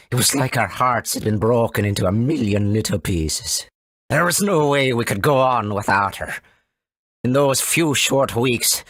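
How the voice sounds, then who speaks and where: A man voicing a folksy, cautious elder of a furry forest tribe in a video game, with a gravelly, husky voice and dramatic storytelling manner. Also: medium pitch, a bit bright, no echo, clean studio sound, heavily acted. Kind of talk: video game character acting.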